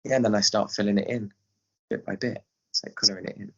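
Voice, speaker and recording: A man's voice: on the low side, fairly even intonation, quick pace, creaky, a bit bright, lo-fi sound, a little hiss or hum. A man with an English accent chatting casually as an interview guest, explaining his work as a theatre director. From England. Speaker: guest, pantomime director and scriptwriter.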